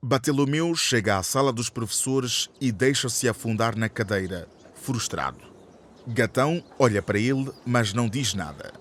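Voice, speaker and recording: A man's voice: mid-range voice, expressive, normal speed, a little hiss or hum, lightly acted. A man narrating a radio drama in a scripted, scene-setting style. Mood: descriptive. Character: male narrator of a school radio soap.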